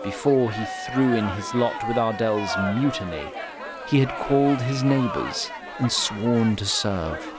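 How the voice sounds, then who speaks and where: A man's voice: fairly low pitch, expressive, a little slow, over a noisy crowd. A man narrating a scripted fantasy audio drama in a literary, wry storytelling manner.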